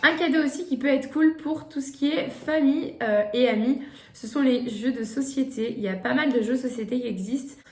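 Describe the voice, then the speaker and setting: A young woman chats through gift ideas, stumbling over fillers and restarting her sentences. Her voice sits a little high. The room around her is echoey. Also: fairly quick, soft background music. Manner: hesitant, many "euh" fillers and restarts. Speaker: vlogger presenting gift ideas. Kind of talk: casual chat to camera.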